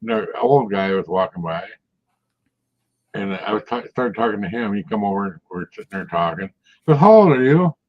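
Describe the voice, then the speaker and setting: Low-voiced man in his sixties, chatty. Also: animated, brisk. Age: 60s. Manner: rambling storyteller, chuckles often. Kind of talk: casual chat. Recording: muffled lo-fi audio.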